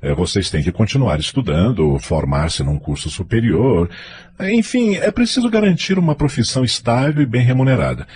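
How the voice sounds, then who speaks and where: A Brazilian man playing a self-assured middle-aged politician father in a radio drama, expressive and declamatory. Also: medium pitch, normal speed, breathy edge. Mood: persuasive, authoritative.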